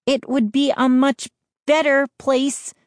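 A woman voicing a frightened, outraged girl in an audiobook, exclaiming in a shrill, indignant way. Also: flat delivery, slow pace, no room sound, clean studio sound. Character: frightened, outraged girl, the narrator's shrieking sister. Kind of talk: audiobook character voice.

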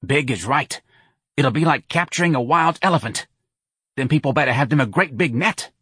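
A man's voice: mid-range voice, expressive, moderate pace, bright, forceful tone, husky, no room sound, studio quality, pitched above the performer's own voice. Man doing a brassy New busybody woman. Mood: gleeful. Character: brash, scheming middle-aged woman, loud and pushy.

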